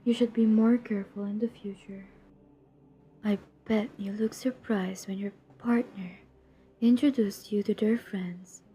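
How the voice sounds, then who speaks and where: A woman playing a centuries-old vampire who hunts her own kind in an audio roleplay, cold, menacing and drily teasing, in a deliberate half-whisper. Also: medium pitch, fairly even intonation, mellow, lightly acted. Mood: wry, probing. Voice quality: breathy, husky, half-whispered. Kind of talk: intimate scripted audio roleplay.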